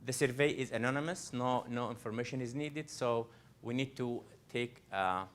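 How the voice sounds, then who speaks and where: A man's voice: mid-range voice, moderate pace, fairly bright tone. A man from Egypt, a coach presenting a live workshop to an audience. He speaks earnestly, often restarting and repeating phrases as he addresses the room directly. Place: library event room.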